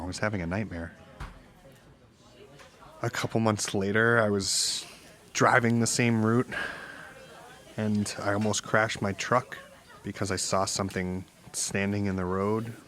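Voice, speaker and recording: An actor playing a haunted witness in an audio drama, recounting eerie events in a halting, uneasy way. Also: male voice, fairly low pitch, fairly even intonation, a bit bright. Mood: shaken, grim. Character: haunted married truck driver recounting eerie encounters. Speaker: podcast guest, witness. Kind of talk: naturalistic audio drama storytelling.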